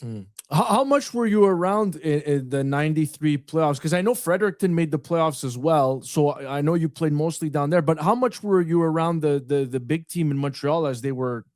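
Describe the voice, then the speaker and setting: A male podcast host talks in a chatty, enthusiastic way, with long, rambling questions. His voice sits a little high, and the sound is dry and close. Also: moderate pace, few pauses, a little mellow, dry recording. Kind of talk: casual podcast chat.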